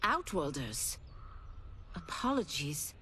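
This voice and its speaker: A woman's voice: deep voice, very animated, slow, noisy background, exaggerated voice. An actress voicing a proud demon woman seeking redemption in a video game, formal and intense. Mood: solemn, composed. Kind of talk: video game cutscene acting. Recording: game soundtrack behind.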